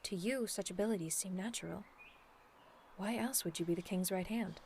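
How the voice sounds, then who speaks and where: A woman's voice: mid-range voice, creaky, a little mellow, lightly acted. Dignified demon princess, acted by a woman, over ambient noise. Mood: insistent. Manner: formal, measured, persuasive. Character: dignified, earnest demon princess pleading for her people. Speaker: voice actor in an audio roleplay. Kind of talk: scripted ASMR roleplay acting, addressed to the listener.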